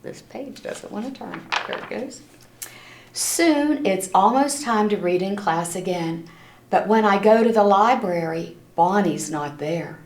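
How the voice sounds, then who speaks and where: A woman's voice: mid-range voice, lively intonation, a little slow, darkish tone, slight background noise. A woman reading a children's storybook aloud expressively, with simple storytelling and little asides to listeners. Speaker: storybook reader. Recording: echoey room.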